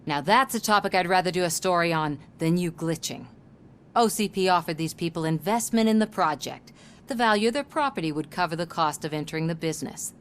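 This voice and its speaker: An actress playing a sardonic, determined investigative reporter in a video game, speaking in a wry, pointed way. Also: female voice, fairly low pitch, a little fast, a little hiss or hum, lightly acted. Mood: skeptical, sardonic.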